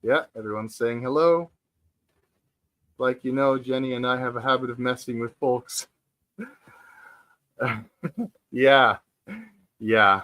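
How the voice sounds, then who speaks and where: A man of forty hosting a casual livestream chat from home, speaking hesitantly with many fillers and restarts and pausing to read out viewer comments. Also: medium pitch, a bit bright. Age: 40s. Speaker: livestream host. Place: at home, sitting on the floor.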